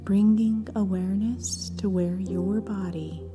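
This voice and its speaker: A woman leading a scripted guided meditation in a gentle, soft voice with breathy phrase endings and long pauses between short phrases. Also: medium pitch, fairly even intonation. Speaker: therapist guiding a meditation. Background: background music.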